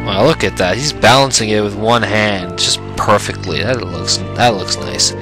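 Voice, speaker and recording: A man's voice: on the low side, normal speed. A man giving casual let's play commentary, rambling and often restarting his phrases, with game music playing behind him.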